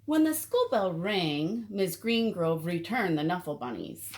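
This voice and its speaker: A woman reading a picture book aloud to children as an expressive storyteller. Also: lowish voice, measured pace.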